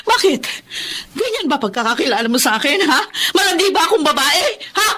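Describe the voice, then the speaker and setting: A high-pitched, sharp-edged young-sounding wife and mother, hardworking and easily pushed to indignation. She is acted with open emotion in radio drama style, over faint noise. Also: big pitch swings, fairly quick, full character voice. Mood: indignant, hurt.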